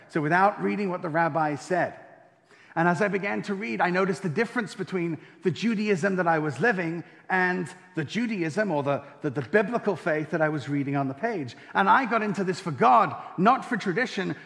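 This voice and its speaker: A young man with an English accent recounts his personal story before an audience. His voice sits slightly high, and he keeps it light with wry, self-deprecating jokes and easy hesitations. Long reverb from a large hall trails his words. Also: gravelly, fairly bright tone. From England and France. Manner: wry, self-deprecating humor, frequent ums. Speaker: speaker giving a testimony. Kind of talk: personal faith testimony to an audience.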